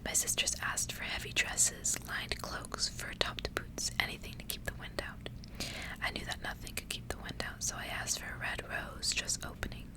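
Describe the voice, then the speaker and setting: Female ASMR reader needed, whispering throughout, soft and measured. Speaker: ASMR host reading a storybook aloud.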